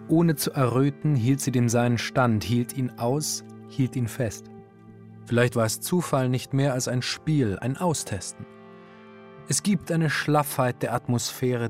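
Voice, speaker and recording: An actor as the composed, serious narrator of a literary German radio drama. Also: male voice, mid-range voice, darkish tone, lightly acted. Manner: measured, serious. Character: composed literary narrator observing a troubled wife.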